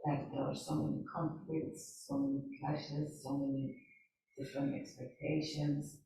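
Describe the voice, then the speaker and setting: A man in a workshop group shares his thoughts in a musing way, searching for words. His voice sits fairly high with a mellow tone. He sounds distant in a very echoey room with faint background noise. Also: level tone, measured pace, vocal fry. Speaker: workshop participant. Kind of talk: reflective comment in a group discussion. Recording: echoey room, far from the mic.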